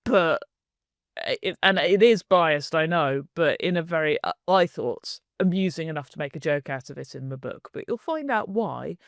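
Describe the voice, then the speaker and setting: A woman's voice: low-pitched, lively intonation, normal speed, no room sound, clean studio sound. Chatty, jokey woman podcast co-host. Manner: chatty and jokey, laughs easily. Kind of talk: casual podcast chat.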